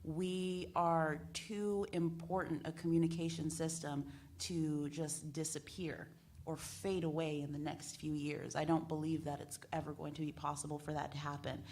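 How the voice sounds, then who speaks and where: American woman on a radio panel, kinda low voice, earnest, repeats stuff to make a point. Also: flat delivery, normal speed, darkish tone. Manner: emphatic, earnest, repeats phrases for effect. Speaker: community radio broadcaster, panelist. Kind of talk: panel discussion talk, animated storytelling.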